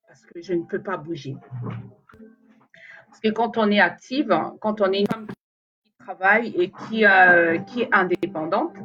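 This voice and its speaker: A woman's voice: medium pitch, fairly bright tone, muffled recording. A woman whose first language is Lingala, chatting casually and rambling to her viewers in a live video, with many fillers and restarts. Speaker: vlogger talking to viewers. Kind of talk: casual live chat to camera.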